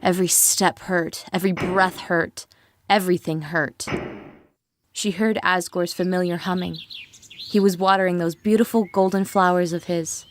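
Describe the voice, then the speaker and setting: A woman's voice: on the low side, steady tone, normal speed, a little hiss or hum. A woman reading scripted, dramatic storytelling narration.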